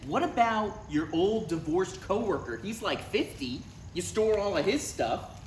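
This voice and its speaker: A man plays a defensive son in his thirties at a live comedy table read. His voice is slightly high and jumps around in pitch as he argues in halting, incredulous bursts. The room rings around him with faint noise. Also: animated. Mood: annoyed. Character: Ryan, exasperated thirty-six-year-old son, needling and defensive. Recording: roomy live recording, faint background noise.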